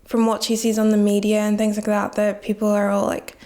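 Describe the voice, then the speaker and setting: A woman's voice: mid-range voice, monotone, fairly quick, mellow. A nineteen-year-old woman from Nigeria, reflecting in a casual interview and saying "like" a lot. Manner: heavy use of "like" as filler, reflective. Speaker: guest.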